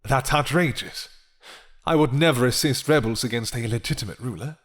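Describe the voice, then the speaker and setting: A man's voice: mid-range voice, close to an everyday voice. Courtly, menacing vampire lord, acted by a man. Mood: formal, guarded. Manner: courtly, measured, threatening. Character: proud, menacing, smooth-talking male vampire lord. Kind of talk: dramatic roleplay acting.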